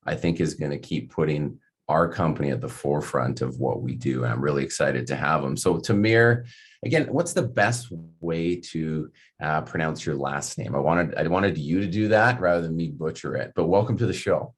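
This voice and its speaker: A man with a notably deep voice hosts a casual podcast interview. He talks in loose, rambling runs, circling back with fillers and restarts. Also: normal speed, breathy edge. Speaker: podcast host.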